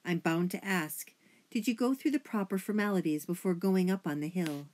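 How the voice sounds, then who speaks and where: A woman's voice: fairly low pitch, moderate pace, a little mellow, natural voice. Lady doing a stuffy mouse dad for a kids book, pompous, bossy and formal, gets indignant. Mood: indignant, agitated.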